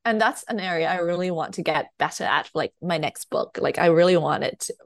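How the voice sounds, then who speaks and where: A woman's voice: fairly low pitch, a little fast, no echo, clean studio sound. A young woman from Thailand, speaking English as her second language, chatting hesitantly as a guest novelist with many fillers and laughs. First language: Thai. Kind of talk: casual interview chat.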